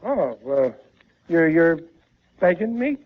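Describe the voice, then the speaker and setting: Casting: blustering, stubborn American dad for vintage radio sitcom, high swooping voice, muffled old recording. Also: male voice, very animated, takes it slowly. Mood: grudging, stubborn. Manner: blustering, stammering, exasperated. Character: blustering, stubborn, easily fooled working-class family father. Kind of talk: 1940s radio sitcom acting.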